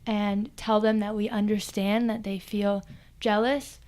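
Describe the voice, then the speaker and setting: A woman playing an earnest psychic in a comedy interview, speaking in a serious, measured, matter-of-fact way. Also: mid-range voice, flat delivery, darkish tone, lightly acted. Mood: serious, convinced. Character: earnest female psychic who believes in vengeful spirits.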